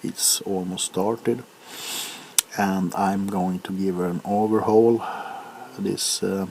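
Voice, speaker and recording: A man in his forties from Sweden chatting in soft-spoken ASMR style, hesitant and unhurried, often drifting into whispers. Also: low voice, fairly even intonation, creaky. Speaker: ASMR video host. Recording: faint background noise.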